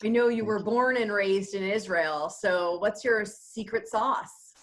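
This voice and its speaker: A woman's voice: medium pitch, unhurried, low-quality recording. A woman hosting an online talk, introducing her guest and asking questions over a video call. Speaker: event host and moderator.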